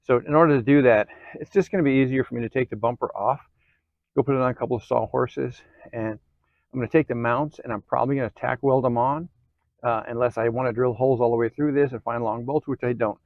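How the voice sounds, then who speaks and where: A man with an average-pitched voice hosts a casual how-to. He talks in a folksy, informal manner, checking in often as he explains each step. The sound is dry, with very little room in it. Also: steady tone, fast talker, no room sound, narrow, tinny audio. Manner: folksy, informal, frequent check-ins like okay and cool. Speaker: host of an off-road DIY channel. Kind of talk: casual hands-on how-to narration.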